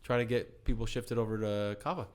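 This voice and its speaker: A man whose first language is Spanish, talking as a company executive guest in a casual podcast conversation, explaining things with animated enthusiasm. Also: on the low side, a little fast.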